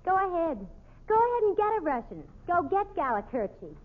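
Indignant, daffy New daughter of a tavern owner, high-voiced and quarrelsome, tinny vintage radio comedy sound. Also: female voice, sing-song, fairly quick. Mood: argumentative. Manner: argumentative, scatterbrained, indignant. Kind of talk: 1940s radio comedy acting. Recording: tinny old radio recording.

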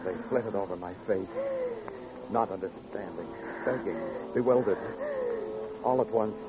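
A man's voice: on the low side, a little slow, heavy background noise, lightly acted. A man playing a hardboiled, world-weary police detective and narrator in a 1950s radio crime drama, wry and brooding with clipped storytelling. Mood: tense, hushed. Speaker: narrator and lead actor. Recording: muffled vintage radio recording with music.

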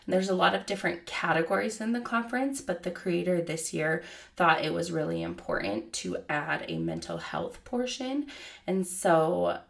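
Casting: woman, 30s, podcast host, low gravelly voice, slightly hoarse, chatty and casual. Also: big pitch swings, normal speed. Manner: chatty, many fillers like "like" and "you know". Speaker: podcast host and child therapist. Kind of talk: casual solo podcast talk.

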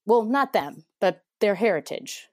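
A woman's voice: mid-range voice, lively intonation, unhurried, a little mellow, dry recording. A woman in her thirties from Mexico, talking as an interview guest in a chatty way, laughing often and restarting her sentences. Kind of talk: casual conversation.

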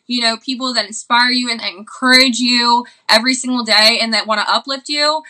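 A woman speaks with a strikingly bright, sharp voice, pitched a little high. She shares a personal testimony of faith in a casual style. Her delivery is earnest and impassioned, with words and phrases often repeated. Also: steady tone, fairly quick.

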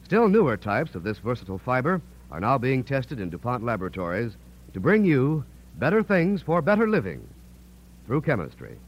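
A man's voice: medium pitch, huge pitch swings, moderate pace. A man reading a scripted 1940s radio advertisement as a company spokesman in a polished, declarative sales delivery. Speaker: company spokesman, commercial announcer. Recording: dull, noisy old radio recording.

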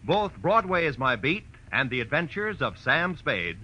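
Crisp, very bright American radio announcer. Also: male voice, a little high, big pitch swings, unhurried, highs cut off. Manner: polished, emphatic. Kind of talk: 1940s radio announcing, scripted promotion.

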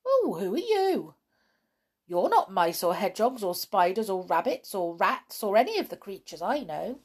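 A woman's voice: mid-range voice, big pitch swings, moderate pace. A woman voicing a small, nervous, chatty male field mouse in a children's storybook. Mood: alarmed. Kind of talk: children's storybook read aloud.

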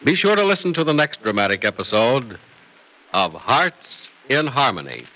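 A man's voice: mid-range voice, big pitch swings, moderate pace, sharp tone, poor audio. A man announcing in scripted 1940s radio style, with an emphatic, polished sales delivery.

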